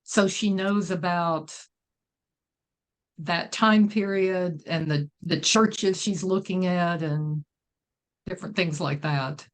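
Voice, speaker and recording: A woman's voice: on the low side, slow. A woman answering as an interview guest, reserved and casual, with brief, tentative replies. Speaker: guest author.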